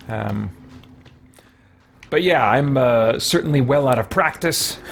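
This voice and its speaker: A middle-aged man chatting casually as he hosts a game livestream, thinking aloud in a rambling way. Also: mid-range voice. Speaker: livestream host playing a video game. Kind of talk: casual live game commentary and chat. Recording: noticeable background noise.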